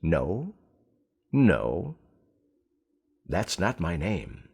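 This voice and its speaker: A sly little goblin of a man from a storybook fairy tale, acted out by a male reader. He is insistent and gleeful, drawing out his words slowly with big, swooping intonation. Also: low-pitched, very animated, creaky, a little mellow, slightly rough, exaggerated voice. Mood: smug refusal.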